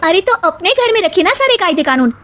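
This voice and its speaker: A woman's voice: very high-pitched, big pitch swings, fast, fairly bright tone, faint music behind, voice pushed up, exaggerated voice. A woman voicing a young newlywed daughter-in-law in a family tale, polite but pointed and firm. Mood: firm, indignant.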